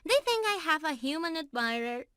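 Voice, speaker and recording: A woman's voice: sits high, very animated, fairly quick, fairly bright tone, dry recording, clean studio sound. A woman playing an affectionate, playful young mermaid in love, talking tenderly and giggly to the listener in an ASMR roleplay. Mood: happy, teasing. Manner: sweet, giggly, tender, addressing the listener directly. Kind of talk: ASMR roleplay, scripted intimate acting.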